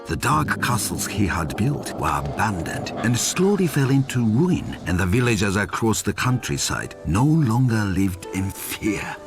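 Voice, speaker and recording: An actor playing a solemn storyteller, narrating an ancient legend for a video game with theatrical, deliberate emphasis. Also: male voice, on the low side, sing-song. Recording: loud music behind the voice. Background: loud game music.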